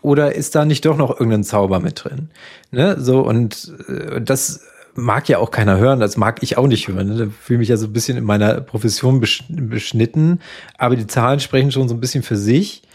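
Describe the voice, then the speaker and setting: Male psychotherapist guest, loose chatty explainer, mid pitch. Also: a little fast, slightly rough. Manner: relaxed, explains with fillers and tag questions. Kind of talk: casual podcast conversation.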